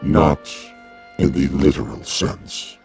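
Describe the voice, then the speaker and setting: An actor playing a towering, serene alien emissary in a radio drama, speaking formally and deliberately in an artificial-sounding voice. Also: male voice, rumbling low, creaky, darkish tone, soft background music, full character voice. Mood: courteous, measured.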